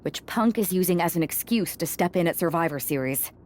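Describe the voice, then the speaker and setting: A woman's voice: lowish voice, animated, brisk, a little mellow, slight background noise, natural voice. An actress playing a shrewd, wary female wrestler co-leading a team in a video game cutscene, cool and calculating.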